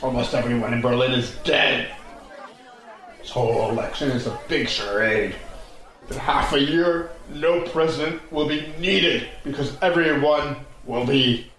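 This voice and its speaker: A man's voice: medium pitch, measured pace, fairly bright tone, some chatter behind, lightly acted. An older actor playing a bitter, grieving grandfather who heckles a politician, accusing and declamatory, in a very echoey audio drama. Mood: furious. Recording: very echoey, reverberant space.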